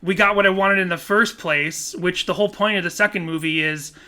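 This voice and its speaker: Male podcast co-host, bright, slightly high voice, animated rambler. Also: brisk. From Korea. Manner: animated, laughs often, rambling restarts. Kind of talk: casual chat.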